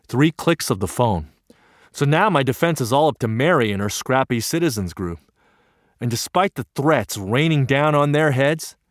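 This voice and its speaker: An actor narrating as an earnest young student, telling his story in an earnest, wry and lively way. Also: medium pitch, no echo, studio quality, natural voice. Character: earnest young Japanese American male student. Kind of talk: one-man stage play narration.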